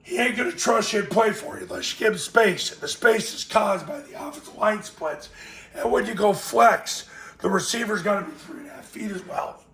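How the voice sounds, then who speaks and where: A man with a raspy voice, hoarse from a cold, giving blunt, emphatic football coaching tips. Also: high voice, expressive, fairly quick, a bit bright, echoey room.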